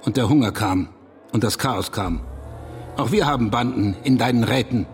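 A man's voice: medium pitch, normal speed, natural voice. Radio drama: actor for a scornful reactionary man, accusatory rhetorical delivery, mid-range voice, faint ambient noise. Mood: contemptuous, indignant. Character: indignant conservative man, contemptuous of revolutionaries and workers' councils. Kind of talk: German radio drama dialogue, polemical debate.

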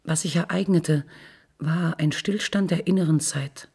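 Low-key husky woman reading literature. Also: measured pace, a little mellow. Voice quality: husky, breathy. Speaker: narrator in a radio literary feature.

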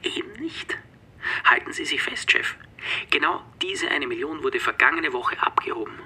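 A businesslike police assistant in a radio drama, reporting briskly. The voice is extremely sharp and tense, yet husky and breathy. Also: male voice, a little high, expressive, in a whisper, heavily acted. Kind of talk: German radio play acting.

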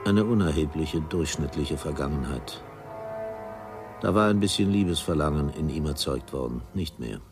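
A detached storyteller with an extremely low voice narrates a radio play gravely and deliberately. Music plays clearly behind him. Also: male voice, animated. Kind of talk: scripted radio drama narration.

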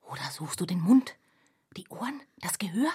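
A woman's voice: lowish voice, expressive, moderate pace, dark tone, husky, dry recording. An actress playing an insistent, uneasy female onlooker in a literary radio play, speaking with emphatic intensity. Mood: eerie, insistent. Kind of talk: literary radio play, scripted three-way conversation.

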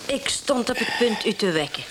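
A woman's voice: a little high, lively intonation, moderate pace, lightly acted. An actress in a radio drama playing a self-assured, probing woman who speaks in a direct, dry, coolly unimpressed way. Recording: noisy radio drama recording.

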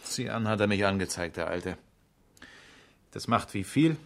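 A man's voice: on the low side, measured pace, vocal fry. Uneasy, guarded man under questioning. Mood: wry, guarded. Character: defensive, uneasy male engineer questioned by police. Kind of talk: 1970s German radio crime drama acting.

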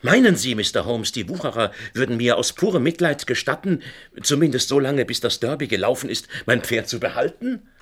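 A man acting in a radio drama as a proud, debt-ridden gentleman who confesses in a formal, grave, explanatory manner. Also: mid-range voice, animated, a little fast, husky. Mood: agitated, defensive. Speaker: actor in a radio play.

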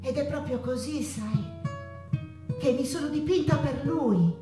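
An actress playing Frida Kahlo, a passionate woman painter confiding about her lovers in an intimate, halting theatrical monologue with playful teasing. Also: high voice, over music. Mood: dreamy, tender. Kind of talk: theatrical stage monologue with dramatic pauses. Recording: echoey room, somewhat far from mic.

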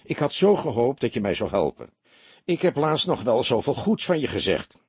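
A man's voice: mid-range voice, sing-song, normal speed, a little mellow, breathy edge, dry recording, voice pushed up. A man reading an audiobook as a heavyset, unflappable police detective with a teasing, mock-sincere manner.

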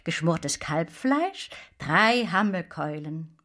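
An actress reciting as a deliberate, savouring female voice in a German literary radio drama. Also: lowish voice, expressive, fairly bright tone.

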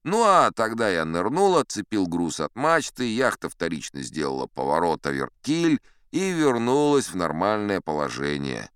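An actor playing a seasoned, self-assured sea captain who tells tall tales in a wry, conversational way, as theatrical audio drama narration. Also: male voice, low voice, wildly swooping pitch, moderate pace, hardly any pauses, fairly bright tone, clean tone, dry recording, clean studio sound, exaggerated voice. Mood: wry storytelling. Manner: conversational, wry raconteur with rhetorical asides. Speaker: audiobook performer in character as storyteller.

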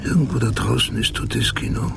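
A man's voice: medium pitch, lively intonation, a little fast. An actor playing a brooding, obsessive male police detective in a radio drama, weary and haunted, speaking in terse, meticulous phrases. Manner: terse fragments, meticulous describing. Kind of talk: German radio drama monologue and dialogue. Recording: clearly noisy background.

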